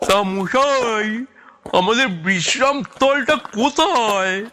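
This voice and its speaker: Huffing comic male sidekick, very high voice, slow whining delivery, audio drama. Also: background noise. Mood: weary. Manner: whining, exclaiming, complaining. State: out of breath, groaning. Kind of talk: audio story acting.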